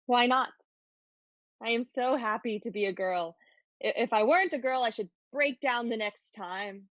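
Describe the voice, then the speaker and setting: A woman's voice: a little high, normal speed, fairly bright tone, no room sound, close to an everyday voice. Self-assured schoolgirl, slightly muffled, a woman acting. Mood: proud, earnest. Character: happy, self-assured, romantic teenage schoolgirl. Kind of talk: dramatic reading of a period play.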